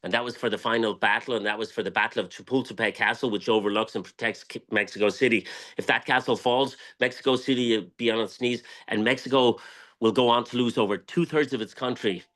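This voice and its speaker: A man's voice: mid-range voice, fairly even intonation, quick pace, fairly bright tone. An Irish man telling history as an interview guest, speaking emphatically and passionately, repeating phrases for weight. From Ireland. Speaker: guest, tequila brand owner.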